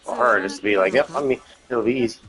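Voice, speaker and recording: A man's voice: medium pitch, fast talker, a bit bright, soft background music. A man commentating casually on a live game stream, thinking aloud in a hesitant, rambling way and repeating himself, with casual swearing.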